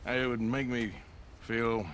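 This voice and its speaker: An actor playing a weary, resigned middle-aged American outlaw with a gruff voice in a western video game. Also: male voice, mid-range voice, level tone, takes it slowly, a bit bright, a little hiss or hum. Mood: grave, weary. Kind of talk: dramatic video game acting.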